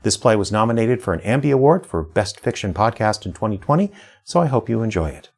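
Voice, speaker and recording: A man's voice: on the low side, sing-song, quick pace. A man giving a scripted introduction as an audio drama writer and producer presenting his work.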